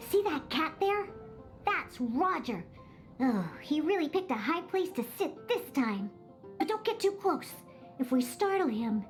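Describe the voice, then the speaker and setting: Young cat girl, very high voice, swooping intonation, bossy, indignant, cutesy game character, music behind. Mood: eager, bossy. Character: feisty young cat-girl bartender who despises alcohol. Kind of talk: video game character acting.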